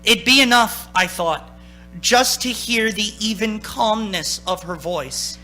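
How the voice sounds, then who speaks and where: An actor in a radio play playing a frightened young man who narrates his ordeal as he unravels, intense and halting. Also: high voice, sharp tone, soft background music, heavily acted. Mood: weary, desperate. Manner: intense, haunted, halting under strain. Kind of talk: dramatic radio play acting.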